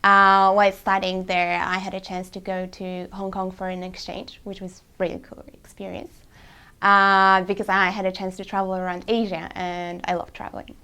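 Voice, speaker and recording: Young woman, bright crisp voice, direct self-introduction. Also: mid-range voice, flat delivery, normal speed. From Poland. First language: Polish. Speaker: market researcher.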